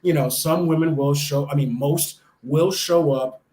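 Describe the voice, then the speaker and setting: A man's voice: a little high, unhurried, mellow. An American man in his young adult years, chatting enthusiastically as an interview guest, with lots of fillers and restarts. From United States. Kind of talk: casual interview chat. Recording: echoey room.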